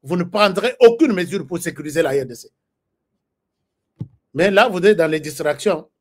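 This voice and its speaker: Impassioned high-voiced Congolese man. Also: animated, normal speed. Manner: emphatic, rhetorical, repeats phrases for force. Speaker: political commentator. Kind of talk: impassioned political commentary.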